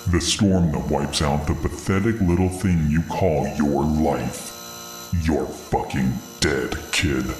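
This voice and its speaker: A man's voice: a bass voice, takes it slowly, a little mellow. A man voicing a giant, vengeful monster woman who boasts and swears threats in a dramatic horror-story reading. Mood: cold threatening. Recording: roomy echo, music behind.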